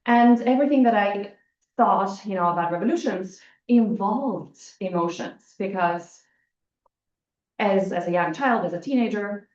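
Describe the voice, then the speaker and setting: A woman's voice: medium pitch, a little slow, darkish tone. A woman in her forties who grew up in Albania, giving a conversational conference talk with frequent restarts. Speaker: academic panel speaker. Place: lecture room. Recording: echoey room, muffled lo-fi sound.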